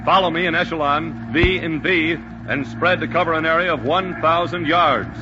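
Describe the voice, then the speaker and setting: An actor playing a decisive American squadron commander with clipped delivery in a 1940s radio drama. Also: male voice, a little high, moderate pace, background noise, close to an everyday voice. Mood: commanding. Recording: muffled old radio, loud engine roar.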